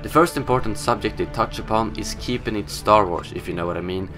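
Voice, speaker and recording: A man's voice: fairly low pitch, fairly quick, music in the background. A young man whose first language is Swedish, hosting a casual, opinionated gaming news show in a scripted style.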